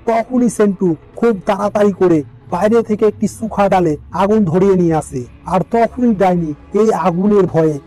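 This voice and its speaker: A man's voice: sits high, normal speed, warm tone, soft background music, low-quality recording. A man narrating a scripted fairy tale as a storyteller.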